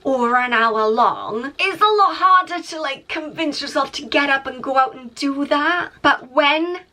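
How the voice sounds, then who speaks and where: A woman's voice: high-pitched, unhurried, sharp tone. Chatty young woman vlogger. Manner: chatty, self-mocking, laughs often. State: congested from a cold. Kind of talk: casual vlog narration.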